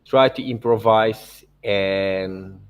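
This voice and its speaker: A man narrating an informal art software tutorial as a teacher, in loosely phrased non-native English, often checking in with short tag words. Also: fairly low pitch, very slow, smooth, highs cut off. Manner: repeats check words like okay and right.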